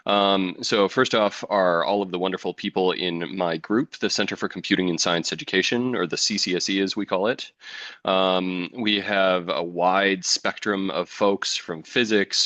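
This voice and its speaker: A man in his thirties from Wisconsin with an American accent, presenting a physics education webinar in a relaxed, chatty way with many ums. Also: deep voice, no room sound. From Wisconsin, United States. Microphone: computer mic on a video call.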